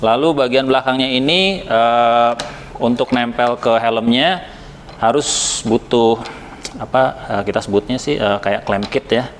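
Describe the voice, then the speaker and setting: A man's voice: medium pitch, a little slow, slight background noise. An Indonesian man giving a casual, conversational product review, hesitating as he goes and mixing in occasional English words. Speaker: product reviewer from a helmet shop.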